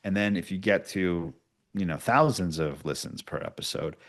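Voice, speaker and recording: A man's voice: deep voice, normal speed. A man giving casual, explanatory interview answers, often checking in with short tags like "right?". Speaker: podcast producer, interview guest.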